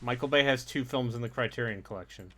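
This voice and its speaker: A man chatting casually with friends, throwing in quick, dry trivia. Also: medium pitch, bright, forceful tone, husky. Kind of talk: casual chat among friends.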